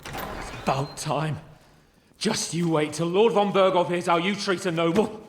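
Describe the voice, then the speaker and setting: An actor playing a cocky young nobleman in a video game, lively and quick to take offence. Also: high voice. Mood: indignant. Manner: lively, indignant, shouts when riled.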